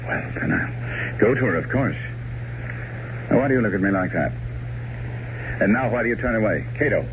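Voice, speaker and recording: An actor playing an earnest, resolute gentleman, grave and deliberate, in theatrical old-time radio drama. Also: fairly low pitch, animated, lightly acted. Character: earnest, resolute gentleman friend recounting gothic horror. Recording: muffled, noisy old radio drama recording.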